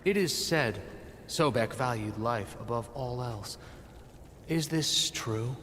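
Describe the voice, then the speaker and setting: A pompous, slow-spoken self-styled messiah. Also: male voice, mid-range voice, a bit bright, in a large hall, slight background noise. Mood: coldly menacing. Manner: pompous, measured, theatrical declarations. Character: grandiose, self-deluded male leader who believes himself a reborn ancestor. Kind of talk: video game cutscene acting.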